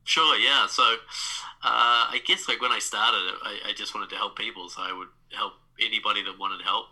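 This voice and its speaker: A man's voice: lowish voice, steady tone, normal speed, bright, forceful tone, low-quality recording. A man in his thirties with a New Zealand accent, talking as a podcast guest, reflective, with many fillers and restarts. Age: 30s. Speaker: guest, life coach. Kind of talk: casual podcast interview.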